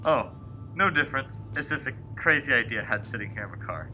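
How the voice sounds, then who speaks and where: Young man acting a haunted motorist, sharp bright voice. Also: mid-range voice, animated, a little fast, slight background noise, low-quality recording. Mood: casual, probing. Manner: earnest, dramatic. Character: frightened, haunted young male motorist narrating his ordeal. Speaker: student actor. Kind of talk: old-time suspense radio play acting.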